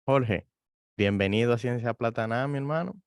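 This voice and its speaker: A man's voice: mid-range voice, moderate pace. A man hosting a science podcast, introducing a guest and asking friendly, informal questions. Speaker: podcast host. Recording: music clearly behind the voice.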